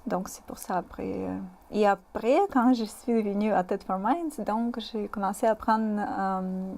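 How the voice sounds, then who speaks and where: A woman from Moscou in her thirties with a Russian accent, speaking French as a second language. She is a guest in a casual interview and talks hesitantly, with many fillers and pauses. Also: medium pitch, a little mellow. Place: community TV studio.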